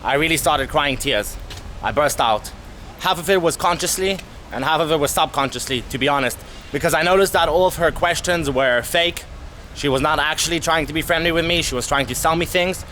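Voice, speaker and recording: A young man telling a travel story to camera as a vlogger, candid and animated, swearing casually, outdoors in a city street. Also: on the high side, fairly quick, slight background noise. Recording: music playing under the voice.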